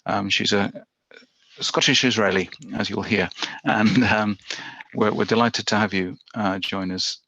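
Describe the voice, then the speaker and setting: A man hosting a webinar, introducing a speaker in a halting way with many uhs and ums. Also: on the low side, fairly even intonation, sharp tone, slight background noise. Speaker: webinar host. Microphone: video call. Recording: dull, dry video-call audio.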